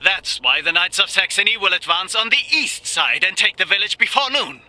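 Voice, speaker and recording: An actor playing a brash, arrogant, impatient knight officer in a war game, boastful and dismissive. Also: male voice, high-pitched, animated, normal speed, pushed and bright, heavily acted. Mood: confident, boastful. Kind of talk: dramatic military game acting.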